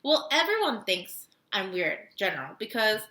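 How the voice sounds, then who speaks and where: A woman's voice: mid-range voice, big pitch swings, moderate pace, bright, forceful tone, roomy sound. A young woman from Korea telling stories in a casual podcast chat, full of "like" fillers and laughter. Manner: chatty storytelling with frequent laughs and "like" fillers. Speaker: podcast co-host.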